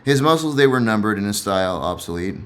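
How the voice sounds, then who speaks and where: A man's voice: fairly low pitch, expressive, fast, fairly bright tone. A man who reads and comments on poetry in a casual, reflective way, somewhat hesitant and repeating himself.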